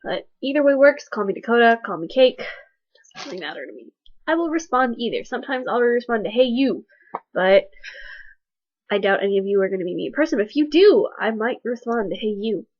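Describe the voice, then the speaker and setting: A woman's voice: fairly high pitch, expressive, fairly quick, dry recording. A young woman with allergies, her voice stuffy and sick, introducing herself awkwardly and self-deprecatingly with lots of fillers. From Texas. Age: young adult. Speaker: college student vlogger. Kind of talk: casual self-introduction vlog. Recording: dull, lo-fi webcam audio.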